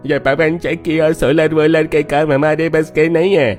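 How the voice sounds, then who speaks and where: A man's voice: a little high, level tone, normal speed, darkish tone, soft background music, natural voice. A man voicing a stubborn, old-fashioned village elder who explains and patronises in a Hindi cartoon story. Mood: scornful.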